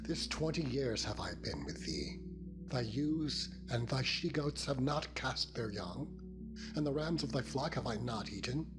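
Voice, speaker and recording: A man's voice: mid-range voice, lively intonation, a little slow, pitched above the performer's own voice, close to an everyday voice. A man voicing an aggrieved, earnest biblical patriarch in solemn, declamatory dramatized scripture. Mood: indignant. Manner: declamatory, archaic solemn phrasing. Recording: music bed behind the voice.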